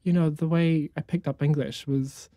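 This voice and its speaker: Young conductor from new zealand, afrikaans first language, eager and halting, lots of ums, dry close sound. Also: medium pitch, steady tone, dark tone, dry recording. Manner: enthusiastic, halting, many fillers. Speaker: conducting student, guest. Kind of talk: casual interview answers.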